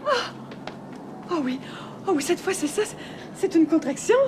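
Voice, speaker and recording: A woman's voice: very high voice, measured pace, background noise, exaggerated voice. A flustered mother in labour. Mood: panicked. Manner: panting, moaning, calming herself.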